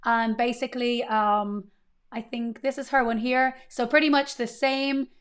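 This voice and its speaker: A woman's voice: on the high side, flat delivery, measured pace. Upbeat woman hosting a live coding stream. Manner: chatty, upbeat, greets chat viewers. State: recovering voice, slightly strained. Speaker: livestream coding host.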